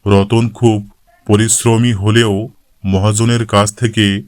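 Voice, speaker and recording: A man's voice: on the low side, little pitch movement, slow pace, darkish tone, no echo, clean studio sound. A man reading scripted narration for a cartoon moral story as the storyteller.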